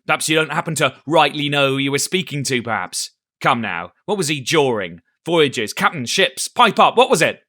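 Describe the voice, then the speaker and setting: A man voicing a blustering, cunning old one-legged pirate cook who shouts in salty sailor talk. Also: mid-range voice, animated, normal speed, dry recording, studio quality. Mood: stern.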